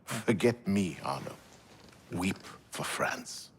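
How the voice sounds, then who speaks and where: An actor playing a weary, sardonic older mentor with a rough voice in a video game cutscene. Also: male voice, low-pitched, animated, slow, background noise, exaggerated voice. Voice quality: husky, rough.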